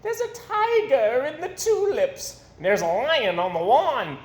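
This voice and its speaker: Storybook villager, played by a man: very high, alarmed, exclaiming, in an echoey room. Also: very animated, takes it slowly, heavily acted. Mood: amazed alarm. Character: excitable, alarmed village townsfolk. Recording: roomy echo, faint background noise.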